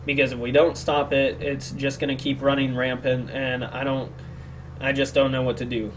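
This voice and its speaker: Sick man, flat and rambling. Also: mid-range voice, normal speed, slight background noise, low-quality recording. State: sick, speaking more quietly than usual. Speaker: podcast co-host. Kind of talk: casual opinionated discussion.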